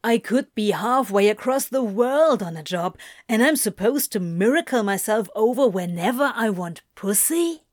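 Cynical male demon, performed by a woman, sharp, incredulous storybook delivery, dry sound. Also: medium pitch, unhurried, few pauses, dry recording, close to an everyday voice. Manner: pointed, sardonic.

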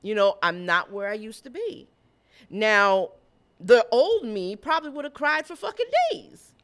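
A woman co-hosting a podcast who tells stories in casual chat, blunt and animated, and swears freely. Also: mid-range voice, a little slow. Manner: blunt, profane and animated storytelling. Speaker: podcast co-host.

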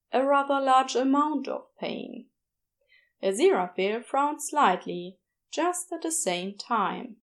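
A woman reading a story aloud as an audiobook narrator, in expressive scripted narration. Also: on the high side. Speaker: audiobook narrator of fan fiction.

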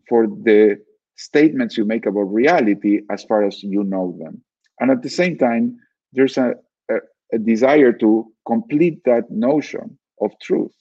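A man from Argentina, a guest author giving calm, measured explanations in an interview. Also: lowish voice, breathy edge, recorded from a distance, muffled recording. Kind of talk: reflective interview answers.

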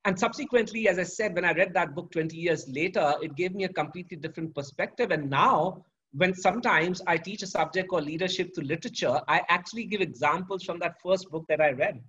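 A middle-aged man, a professor answering interview questions in a measured, storytelling way. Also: high voice, a bit bright, slightly rough. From India. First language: Sanskrit. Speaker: guest, professor. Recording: dull lo-fi audio.

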